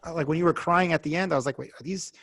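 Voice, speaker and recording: A man's voice: a little high, very fast, gravelly, fairly bright tone. A man hosting a casual interview, eagerly recapping his guest's stories and laughing along. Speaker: podcast host.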